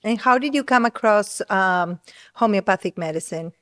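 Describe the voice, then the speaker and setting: A woman hosting an interview as a casual chat, informal and quick to interject and rephrase. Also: medium pitch, fairly bright tone. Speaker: interview host.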